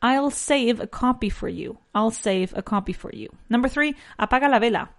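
A woman with a nasal voice teaching an English lesson. She repeats each sentence slowly and switches between English and Spanish. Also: on the high side. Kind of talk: bilingual language lesson.

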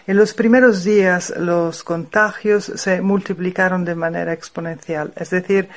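A woman from Italy, a native Italian speaker with an Italian accent, speaks in Spanish, carefully reading a prepared testimony as a radio guest. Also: fairly low pitch. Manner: careful, measured delivery, reading numbers slowly. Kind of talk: partly read prepared statement. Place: home in Rome.

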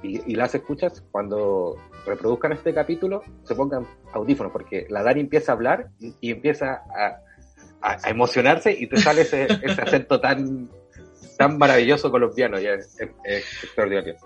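A man's voice: mid-range voice, lively intonation, moderate pace, highs cut off, faint music behind. A man hosting a podcast, asking long, rambling, enthusiastic questions. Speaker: podcast host. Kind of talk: casual interview.

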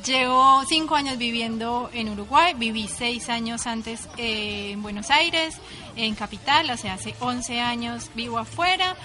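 A woman from Colombia, chatting casually as a radio co-host, bubbly and quick to laugh at herself. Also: a little high, bright, forceful tone, soft background music. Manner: bubbly, laughs often, self-deprecating. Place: radio studio.

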